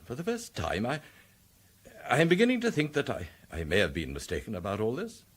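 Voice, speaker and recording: An actor playing a stern, haughty senior police chief, speaking in a cold, clipped, imperious manner in a radio drama. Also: male voice, mid-range voice, big pitch swings, a little fast, breathy edge. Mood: grudging. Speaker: police commissioner figure.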